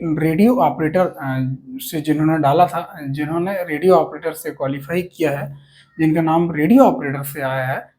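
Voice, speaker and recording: A man's voice: on the high side, a little mellow. A man giving an informal explainer to students, repeating key points emphatically and often checking that they follow. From India. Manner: repetitive, emphatic, with frequent check-in tags. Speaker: host of a police exam advice channel.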